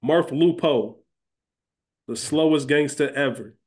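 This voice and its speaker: A man's voice: fairly high pitch, slow pace, darkish tone. A man chatting casually and emphatically as a podcast co-host, conversational in his delivery. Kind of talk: casual chat and recap.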